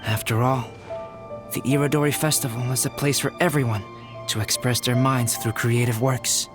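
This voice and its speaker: An actor playing a composed, logical young artist in an anime-style video game, speaking in a measured, courteous and articulate way. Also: male voice, mid-range voice, fairly even intonation, over music, close to an everyday voice. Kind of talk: anime-style game acting, formal speech.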